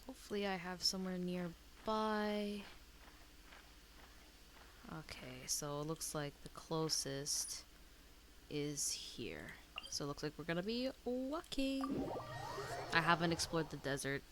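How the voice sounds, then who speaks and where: A woman's voice: fairly low pitch, animated, slow pace, pauses often, slight background noise. A woman streaming a video game with casual commentary, talking to herself and to the game as she plays. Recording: game music behind the voice. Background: loud game music.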